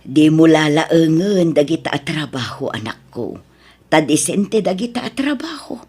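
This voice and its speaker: A woman playing a modest, plain-spoken village woman in an audio drama, slightly husky, with an Ilocano accent. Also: low-pitched, expressive, unhurried, a little mellow, exaggerated voice. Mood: chiding.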